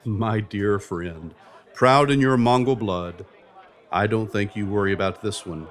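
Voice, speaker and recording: Man playing a solemn, low-voiced reassuring friend. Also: unhurried, lightly acted. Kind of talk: audio play dialogue.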